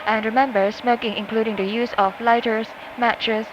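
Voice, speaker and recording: A woman's voice: little pitch movement, normal speed. A woman working as a flight attendant reads a scripted inflight announcement over an aircraft cabin PA. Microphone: cabin PA system heard through speakers. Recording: muffled cabin PA with loud engine noise. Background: loud aircraft cabin rumble.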